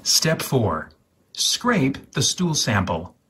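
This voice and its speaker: A man reading an instruction guide aloud in a mock-serious, theatrical, deliberate way, with a husky voice. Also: mid-range voice, big pitch swings, bright, forceful tone. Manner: theatrical, deliberate, repeats words for emphasis.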